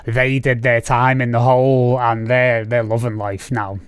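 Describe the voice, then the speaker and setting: A man's voice: medium pitch, little pitch movement, measured pace, clean tone, no room sound. A man in his thirties with a Scouse accent, hosting a casual video-call interview from a room at home and rambling with many fillers. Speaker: podcast host. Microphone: studio mic on boom arm, video call.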